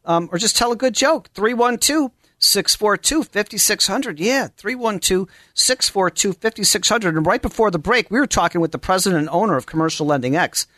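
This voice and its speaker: A high-voiced man hosts a live radio show in a dry studio sound. His delivery is effusive and promotional, full of exclamations, with a bright, forceful edge. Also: expressive, a little fast, few pauses, no room sound.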